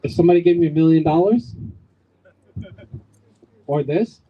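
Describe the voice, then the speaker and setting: A man's voice: a little high, normal speed, husky, highs cut off. A man from Bangladesh giving an emphatic outdoor community speech, rallying the crowd with repetition and jokes. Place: outdoors at a street corner.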